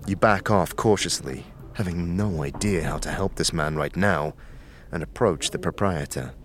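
A man giving steady, descriptive second-person narration for a fantasy audio drama. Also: low-pitched, moderate pace. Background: music.

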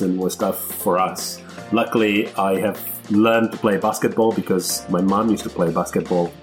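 A middle-aged man from Angola speaking as a podcast guest, telling his life story in a reflective, conversational way. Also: sits low.

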